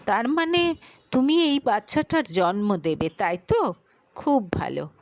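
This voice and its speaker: A woman's voice: fairly high pitch, lively intonation, a little slow, no echo. A woman voicing a kind, protective, composed adult woman who speaks reassuringly in a dramatic audio story. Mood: calm, probing. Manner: soft, reassuring, questioning.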